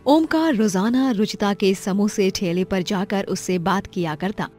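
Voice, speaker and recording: A woman's voice: medium pitch, a little slow, darkish tone, soft background music. A woman reading a scripted story as its narrator.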